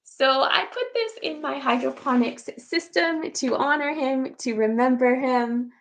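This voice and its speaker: A hoarse woman, chatting casually as host of a live garden tour from her computer room, greeting viewers by name. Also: sits high, a little slow, poor audio. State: hoarse from recent illness.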